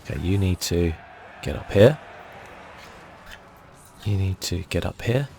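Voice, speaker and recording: Soft spoken asmr gamer guy, really low gravelly voice, thinking out loud, bit of noise behind him. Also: measured pace. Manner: relaxed, thinking aloud while playing. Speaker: gaming video host.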